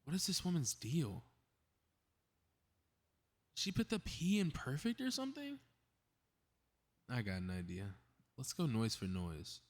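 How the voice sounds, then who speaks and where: A man's voice: mid-range voice, wildly swooping pitch, measured pace, pauses often. A man acting a cocky, mischievous young male superhero in a scripted ASMR audio drama, muttering sarcastic, casually profane asides. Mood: sarcastic, irritated.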